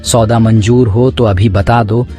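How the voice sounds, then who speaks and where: A man's voice: on the low side, steady tone, unhurried, dark tone, faint music behind, lightly acted. A man playing a scheming, commanding rich village merchant in a cartoon story, businesslike and bossy. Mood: persuasive, businesslike.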